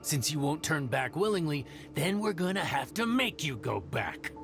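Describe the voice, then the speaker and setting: A sly male mercenary with a lilting, fairly high voice that rises and falls. He bargains smoothly and laughs mockingly before turning threatening. Game music plays behind him. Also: very animated, normal speed. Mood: smug.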